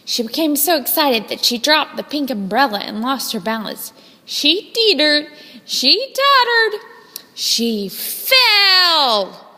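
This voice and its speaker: A woman narrates a children's storybook in a high, lively voice. She is dramatic and deliberate, taking her time over the phrases. Long reverb surrounds her voice. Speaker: storybook reader. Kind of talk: scripted children's story narration, read aloud expressively.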